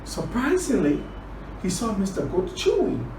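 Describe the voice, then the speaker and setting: A man from Liberia telling a children's story and teaching words, deliberate, repeating phrases for emphasis. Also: sits high, huge pitch swings, darkish tone. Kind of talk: children's storytelling and word lesson. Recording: roomy, distant, clearly noisy recording.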